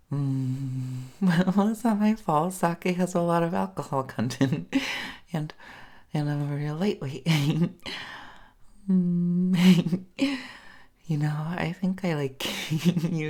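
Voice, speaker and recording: A woman's voice: deep voice, mellow, full character voice. A woman acting a shy, smitten, tipsy date in an intimate roleplay audio, stammering and giggling nervously as she fumbles her words. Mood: tipsy, bashful.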